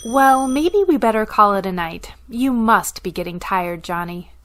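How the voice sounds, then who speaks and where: Radio drama: woman as hospitable older mom, medium pitch, folksy, doting, fussy. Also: expressive, unhurried, fairly bright tone.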